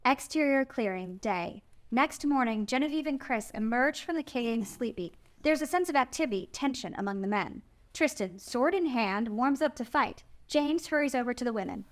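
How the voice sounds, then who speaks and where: A woman's voice: fairly high pitch, expressive, moderate pace. A woman narrating stage directions from a script at a table read.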